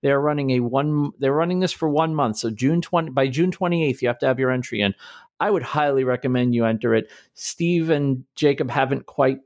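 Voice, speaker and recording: A man with a mid-pitched voice co-hosts a casual podcast. He talks in an upbeat, teasing way and often jokes with his guests. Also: brisk. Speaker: podcast co-host.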